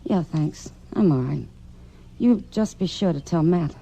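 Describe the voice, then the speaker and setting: A woman's voice: low-pitched, expressive, unhurried, creaky, dark tone, full character voice. An actress playing a worried, guarded saloon woman in an old-time radio western, firm and stubbornly protective. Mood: weary, guarded. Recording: noisy old radio drama recording.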